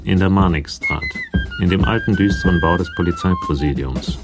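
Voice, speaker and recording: A man narrating a crime radio play in a measured, matter-of-fact way, with a gravelly voice. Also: sits low, huge pitch swings, loud music behind the voice. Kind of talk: scripted radio drama narration.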